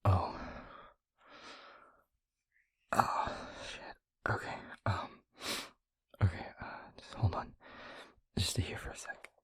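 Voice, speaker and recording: A man playing a gentle, concerned vampire boyfriend in an intimate audio roleplay, speaking softly and haltingly with a breathy, husky voice. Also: dry recording, whispered, natural voice. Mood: worried, flustered. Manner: soft, hesitant, halting, reassuring.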